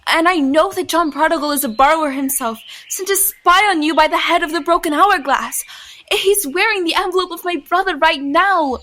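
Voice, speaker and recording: A woman's voice: very high-pitched, fairly quick, bright, forceful tone. A woman acting in an audio drama as a hunted, defiant woman who pleads to be believed, also narrating in the first person. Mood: insistent.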